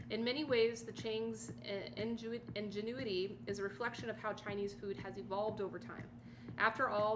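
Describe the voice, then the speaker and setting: A woman hosting a livestream who reads an article aloud in a steady voice and breaks off now and then for chatty, surprised comments of her own. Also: mid-range voice, background noise. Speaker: livestream host. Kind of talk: reading an article aloud with casual asides. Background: music playing underneath.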